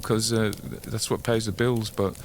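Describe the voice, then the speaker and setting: A man with a deep voice hosts in a casual, literary way. He talks like a storyteller chatting to regular listeners, drifting into rambling asides as he goes. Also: little pitch movement, normal speed, slight background noise. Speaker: host and narrator of a ghost story show.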